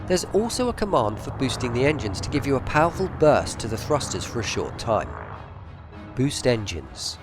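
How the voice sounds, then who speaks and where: A man's voice: medium pitch, big pitch swings, moderate pace. A man narrating a scripted game tutorial in a methodical, explanatory way. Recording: faint music behind the voice. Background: faint music.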